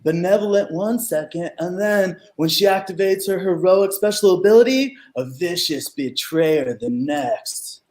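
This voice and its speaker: A rambling, irresponsible stoner gamer acted by a man from a superhero radio comedy. His voice sits high for a man, and he is middle-aged, talking over a video call. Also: expressive, measured pace, hardly any pauses, darkish tone. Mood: unbothered. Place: room at home, video call.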